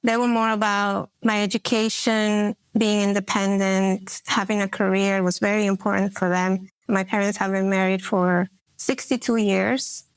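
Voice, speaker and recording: A woman in her forties from Peru speaks as a podcast guest, earnest and reflective, dotting her story with fillers. Her voice sits at an average pitch and sounds close and dry. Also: flat delivery, normal speed, hardly any pauses, smooth, no echo, low-quality recording. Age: 40s. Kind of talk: personal storytelling in an interview.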